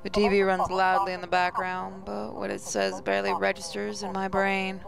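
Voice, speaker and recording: A woman's voice: mid-range voice, moderate pace, gravelly, a crowd in the background. A woman gaming host reads a visual novel aloud as dramatic, emotive first-person narration.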